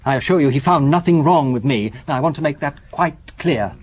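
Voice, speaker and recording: Nervous male shop clerk, husky, muddled, stumbling over his words, radio drama. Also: on the high side, expressive, fairly quick. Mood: agitated, muddled. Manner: stammering, hesitant, agitated. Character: nervous, apologetic, muddled toy-shop clerk insisting he is sane.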